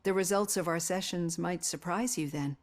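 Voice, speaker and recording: An actress playing a composed, caring female psychologist in a video game, speaking in a measured, earnest, articulate way. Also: on the low side, close to an everyday voice. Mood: earnest, reassuring. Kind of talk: acted video game dialogue.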